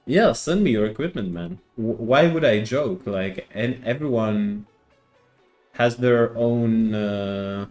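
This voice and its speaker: Slow, hesitant man streaming a game. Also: mid-range voice, a little mellow, faint music behind. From Greece. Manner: hesitant, repeats words, answers chat. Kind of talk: casual live-stream chat.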